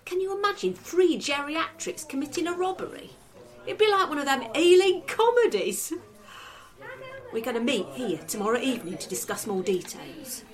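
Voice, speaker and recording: A woman's voice: high voice, big pitch swings, moderate pace. A woman playing a wry, conspiratorial older woman who plots with her friends. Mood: scheming.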